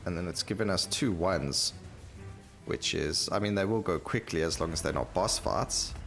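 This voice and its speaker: A man's voice: deep voice, normal speed, fairly bright tone. A man giving casual gameplay commentary, rambling and thinking aloud as he plays. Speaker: gaming video host. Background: game music.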